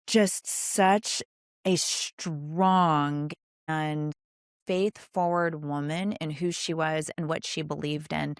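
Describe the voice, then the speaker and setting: Low-pitched woman hosting an interview. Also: clean tone, no echo, studio quality. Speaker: podcast host interviewing a guest. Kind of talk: conversational interview.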